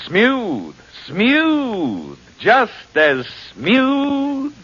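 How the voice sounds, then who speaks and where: A man delivering drawn-out advertising slogans in a 1940s radio style. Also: extremely high pitch, wildly swooping pitch, fairly bright tone. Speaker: advertising pitchman. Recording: muffled old radio recording.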